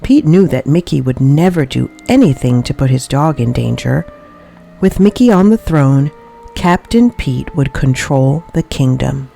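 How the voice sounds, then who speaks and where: Female children's storybook reader: low register, very varied intonation, faint music underneath. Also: lively intonation, measured pace, vocal fry, warm tone. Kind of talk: expressive children's read-aloud narration.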